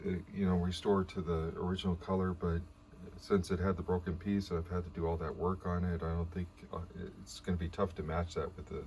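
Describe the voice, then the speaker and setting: Male DIY restorer, low register, casual and halting, thinking aloud, with clear background noise. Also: little pitch movement, a little mellow. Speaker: vlogger restoring an old radio. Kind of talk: casual hands-on demonstration commentary.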